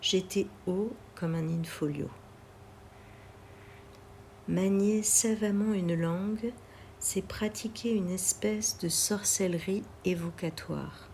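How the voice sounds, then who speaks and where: A woman reads literary poetry aloud in a measured, deliberate way, pausing between phrases. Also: medium pitch, steady tone, darkish tone, a little hiss or hum. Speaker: poetry reader.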